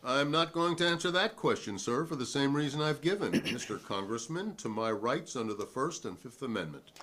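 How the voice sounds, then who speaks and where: Man playing a defiant, animated American hearing witness. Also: medium pitch, fairly quick. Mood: stubborn. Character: defiant, principled male witness at a 1950s hearing. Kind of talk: dramatic reading of a hearing transcript.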